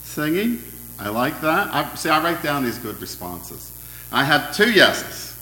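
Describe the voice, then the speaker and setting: A man preaching an interactive sermon, asking his congregation questions in a loud, friendly voice. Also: a little high, big pitch swings, unhurried, reverberant, slight background noise, poor audio. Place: church hall.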